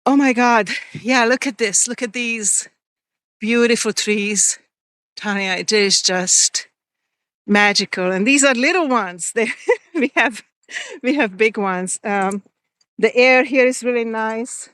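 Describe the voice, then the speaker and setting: Woman host of a walking live stream, smooth and clear, talks casually to viewers. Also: fairly high pitch, a bit bright, no echo. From Hungary. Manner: chatty, reads viewer comments aloud, pauses and restarts. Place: outdoors on a forest walk.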